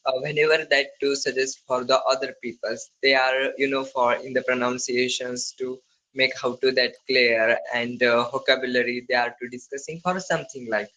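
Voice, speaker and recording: A man with an Indian accent practising conversational English, speaking haltingly in non-fluent phrases with broken grammar. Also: mid-range voice, flat delivery, pushed and bright, poor audio. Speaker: English learner. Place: online video call.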